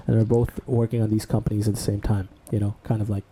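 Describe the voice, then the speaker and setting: Man, co-host, very dark tone, relaxed rambling storytelling, casual podcast talk. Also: on the low side, fast, breathy edge. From Bangladesh.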